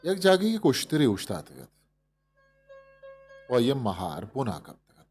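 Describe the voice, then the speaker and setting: A man with a Balochi accent reading a fable as a storyteller, in an expressive scripted narration. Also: medium pitch, slow pace. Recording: music behind the voice. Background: instrumental music.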